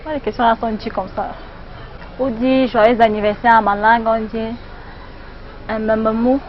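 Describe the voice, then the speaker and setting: A woman from Cameroon with a Cameroonian accent, giving a halting street interview greeting to camera. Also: fairly high pitch, level tone, slight background noise. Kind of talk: vox pop greeting to camera. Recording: dull lo-fi street audio, faint music.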